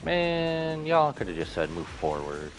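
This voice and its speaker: A man's voice: fairly high pitch, big pitch swings, measured pace. A man giving casual, wisecracking commentary as he plays a video game, in slangy speech. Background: game music.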